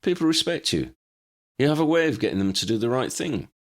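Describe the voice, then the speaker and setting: A man as a blunt, gravelly loyal wizard. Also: mid-range voice, very animated, a little fast, voice pushed up. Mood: supportive. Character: plain-spoken, loyal adult male wizard friend. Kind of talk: storybook character acting.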